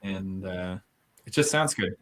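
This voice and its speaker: Relaxed man with dry humor, a singer. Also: low voice, a little slow. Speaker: singer on the album. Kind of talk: casual chat.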